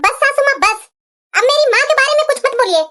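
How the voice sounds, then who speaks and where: A woman's voice: very high-pitched, lively intonation, fast talker, pushed and bright, a little hiss or hum, heavily acted. A woman playing an outspoken, reproachful young daughter-in-law in a cartoon family drama, with a pleading, lecturing manner.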